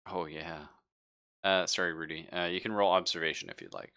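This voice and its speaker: Man, very low pitch, bright forceful voice, game master, halting improvised narration, conversational. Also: fairly even intonation, vocal fry. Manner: halting, conversational, describing scenes to players. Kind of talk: improvised narration of a live tabletop roleplaying game.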